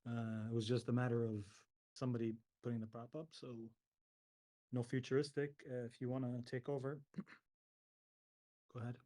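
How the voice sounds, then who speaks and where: A man's voice: mid-range voice, level tone, moderate pace, long pauses, mellow. A man speaking loosely on a community call, laid-back and slangy, with plenty of uhs. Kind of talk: casual call discussion.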